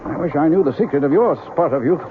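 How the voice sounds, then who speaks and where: A man playing a folksy, aging country doctor in a vintage radio play. He sounds world-weary and conversational, with a slightly high, lively-pitched voice. The old recording is dull, with clear noise. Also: fast, close to an everyday voice. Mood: weary, troubled. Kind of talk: old-time radio drama acting.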